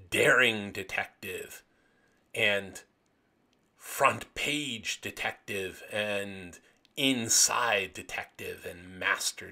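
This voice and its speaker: A man with a gravelly, raspy voice narrating an illustrated commentary as host, with enthusiastic, dramatic emphasis on phrases. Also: fairly low pitch, sharp tone. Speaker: host of a video series on vintage pulp magazines.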